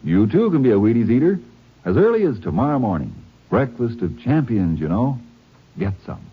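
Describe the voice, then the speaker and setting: A man working as a radio announcer and sponsor pitchman, jovial and folksy, in old-time ad banter. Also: very animated, moderate pace, a little hiss or hum. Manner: jovial, folksy salesman delivery. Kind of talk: 1940s-50s radio ad banter. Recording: muffled old radio recording with music.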